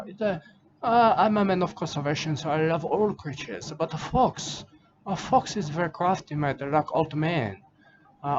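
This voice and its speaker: A man's voice: fairly high pitch, lightly acted. A man voicing a boastful, rambling Australian wildlife-loving bloke with an Australian accent, in broken English, saying "mate" constantly. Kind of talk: comedy character monologue. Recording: muffled lo-fi with steady background noise.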